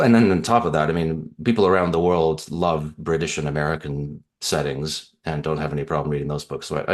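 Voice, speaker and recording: A middle-aged man from Canada, an author answering interview questions in a thoughtful, rambling way with fillers and restarts. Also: rumbling low. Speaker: author guest.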